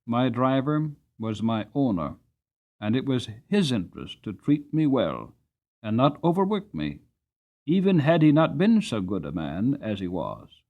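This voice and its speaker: A man's voice: medium pitch, phone-quality sound. A man reading a classic novel aloud as an audiobook narrator, in a dramatic storytelling style.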